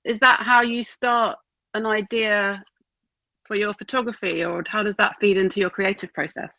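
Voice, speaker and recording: A woman hosting a podcast, asking measured, slightly hesitant questions. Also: mid-range voice, fairly even intonation, creaky, sharp tone, no room sound. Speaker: podcast host. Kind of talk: interview questions. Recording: dry, dull remote audio.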